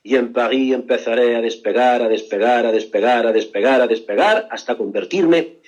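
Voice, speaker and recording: A man playing a grandiose old painter who boasts with slow, drawn-out drama. His voice sits in a middle pitch range. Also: a little mellow, highs cut off, lightly acted. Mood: boastful. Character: flamboyant old male painter, grandiose and theatrical. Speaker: radio contributor.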